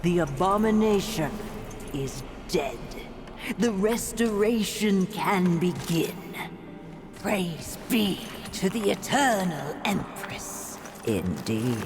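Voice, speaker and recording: A woman's voice: fairly low pitch, big pitch swings, slow, music in the background, full character voice. A woman playing a regal, imperious empress in a fantasy game, speaking in ceremonious royal proclamations. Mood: triumphant, solemn. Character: regal eternal empress, imperious female ruler. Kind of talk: theatrical fantasy game acting.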